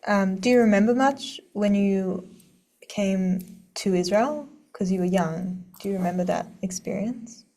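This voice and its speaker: Distant, mellow woman interviewer. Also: medium pitch, a little slow. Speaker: interview show host. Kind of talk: conversational interviewing. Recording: muffled, distant from the mic.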